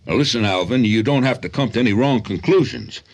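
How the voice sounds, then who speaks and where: A man's voice: medium pitch, fairly quick, close to an everyday voice. An actor playing a woman's secret lover in a radio drama, firm, rational and placating, with a brusque reassurance.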